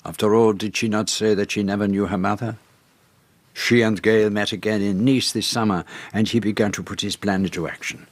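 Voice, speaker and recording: An actor playing a shrewd, assured detective in a radio drama, with a Belgian French accent and deliberate, formal, precise phrasing. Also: male voice, lowish voice, fairly even intonation, no room sound, close to an everyday voice. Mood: assured, accusing. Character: shrewd, assured male detective laying out a murder.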